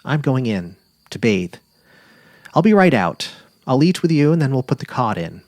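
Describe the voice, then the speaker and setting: A man's voice: mid-range voice, sing-song, normal speed, a little mellow, dry recording, voice pushed up. A man voicing an affectionate middle-aged wife on safari, eager and tender, in a dramatic literary reading. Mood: concerned, caring. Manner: cheerful, eager, tender, imploring.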